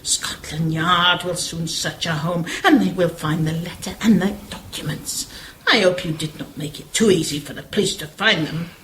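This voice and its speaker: A woman playing a cold, deliberate, menacing spymaster bent on revenge in a radio play. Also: lowish voice, fairly even intonation, a bit bright, close to an everyday voice, low-quality recording. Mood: cold, scheming. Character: scheming vengeful female foreign spymaster. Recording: clear background noise.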